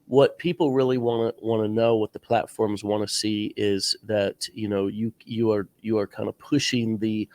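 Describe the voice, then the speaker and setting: A man's voice: lowish voice, level tone, normal speed, few pauses, a little mellow, dry recording. Stuffed up guy w a sore throat hosting a podcast, earnest coaching tone, dry close sound. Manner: earnest, coaching, advice-giving. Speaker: podcast host. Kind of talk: casual interview chat.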